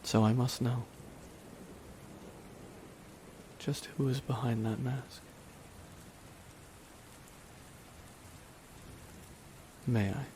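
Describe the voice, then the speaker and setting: A man playing a brooding, remorseless villain in a dramatic ASMR roleplay, speaking in a hushed, deliberate way with long pauses. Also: mid-range voice, close to an everyday voice. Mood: curious, somber. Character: brooding, remorseless male villain at his dying nemesis's side. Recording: steady rain noise behind the voice. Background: rain falling on a surface.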